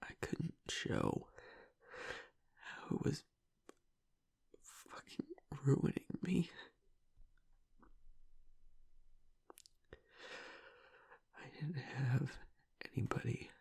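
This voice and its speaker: A man acts out a young male werewolf pack leader in an audio drama, a tough guy cracking with grief. His voice is very husky and full of heavy creak, often sinking to a whisper. He speaks slowly and haltingly, choked up. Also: medium pitch, darkish tone, heavily acted. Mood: anguished. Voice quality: breathy, raspy whisper with gravelly breaks. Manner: halting, fragmented, swearing under strain. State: choked up, grieving. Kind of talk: intimate audio roleplay monologue.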